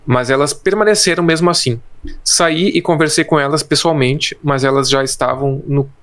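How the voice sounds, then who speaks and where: A man's voice: fairly high pitch, moderate pace, a bit bright. A man co-hosting a casual film podcast, reading trivia and quotes from his notes with hesitant restarts. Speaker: podcast co-host.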